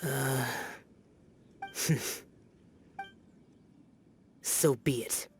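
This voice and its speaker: An aloof young immortal from a video game speaks slowly in stern, formal phrases. He sounds brooding and weary of humans, with music clearly playing behind him. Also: medium pitch.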